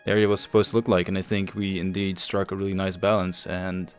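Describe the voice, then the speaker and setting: A man hosting a developer livestream, explaining things casually in a measured, halting way. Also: low-pitched, little pitch movement, smooth. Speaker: host and project lead on a developer livestream. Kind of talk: casual show-and-tell commentary over game screenshots. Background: faint music.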